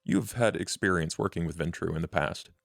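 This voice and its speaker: Man acting a male vampire, very low pitch, gravelly, measured, scheming, formal, tabletop game. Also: no room sound, studio quality. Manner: formal, deliberate, ornate phrasing. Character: measured, scheming male vampire named Duke, courtly and world-weary.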